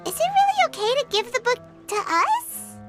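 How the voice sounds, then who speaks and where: A tiny, excitable fairy sidekick from a video game, with an extremely high, squeaky childlike voice. The delivery swings up and down with great animation over loud music. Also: female voice, moderate pace. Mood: earnest. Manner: talks about self in third person, expressive.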